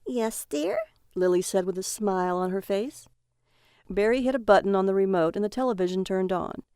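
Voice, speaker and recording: A woman's voice: medium pitch, brisk, dark tone, smooth, no echo, clean studio sound. A woman storyteller reading scripted narration of a comic short story.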